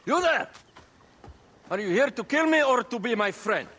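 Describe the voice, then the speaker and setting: A man's voice: very high-pitched, animated, normal speed, sharp tone, heavily acted. An actor playing a wary, commanding ancient nobleman and spymaster in a video game cutscene. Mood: wary, strained.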